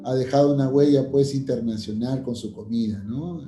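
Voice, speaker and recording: Man, interviewer, deep dark timbre, faint music behind. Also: normal speed, echoey room. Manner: affirming, asks follow-up questions. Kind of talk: casual interview chat.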